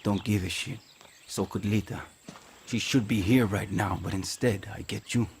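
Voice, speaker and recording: A man's voice: on the low side, fairly even intonation, normal speed, gravelly, a little mellow, a little hiss or hum. A man playing a bitter, hostile guerrilla fighter in a video game, speaking in a curt, resentful, profane way.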